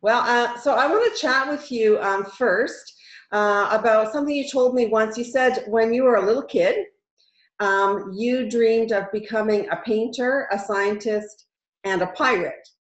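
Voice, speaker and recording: A woman in her fifties hosts an interview over a video call, upbeat and quick to laugh. Her voice sits somewhat high, and the room sounds echoey through dull call audio. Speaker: host. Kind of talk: casual interview. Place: home room.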